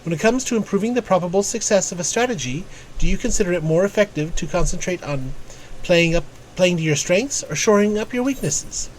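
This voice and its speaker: Man acting out game tactician, analytical, formal, lecturing, precise, higher pitch, slightly noisy recording. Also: lively intonation, a little fast. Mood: instructive, measured. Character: analytical, formal guild tactician instructing a pupil. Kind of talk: reading game dialogue aloud.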